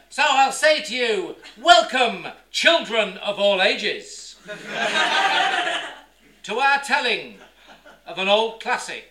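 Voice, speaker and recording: A man's voice: sits high, very animated, slow pace, pauses often, bright, forceful tone, slight background noise. A man performing theatrical live storytelling as a declamatory showman, recorded in a roomy space off the mics. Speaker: stage storyteller.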